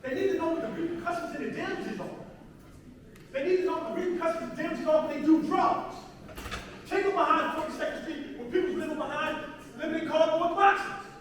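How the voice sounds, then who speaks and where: Very high-pitched New York man, echoey hall. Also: steady tone, a little fast. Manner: emphatic, repetitive, colloquial. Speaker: speaker at a community assembly. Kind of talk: earnest testimonial public speech. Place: large indoor hall, picked up from afar. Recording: very echoey hall, distant mic, clear noise.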